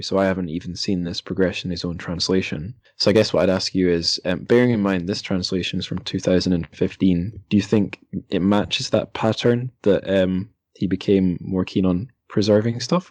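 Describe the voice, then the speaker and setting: Low-voiced man hosting, hesitant and musing. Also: brisk, hardly any pauses, darkish tone, dry recording. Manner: hesitant, self-correcting, musing. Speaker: podcast host. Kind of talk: casual literary interview.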